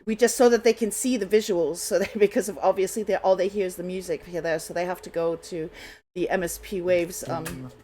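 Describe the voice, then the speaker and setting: A woman hosting a radio show with casual, unscripted chat, halting and apologetic as she goes. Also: lowish voice, mellow.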